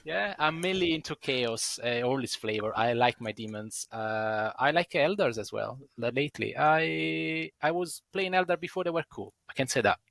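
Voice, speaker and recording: A man's voice: mid-range voice, expressive, normal speed, vocal fry, hardly any pauses, fairly bright tone, dry recording. A man from Italy, native Italian speaker, with an Italian accent, chatting casually on a podcast and laughing between phrases. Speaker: podcast guest. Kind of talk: casual chat.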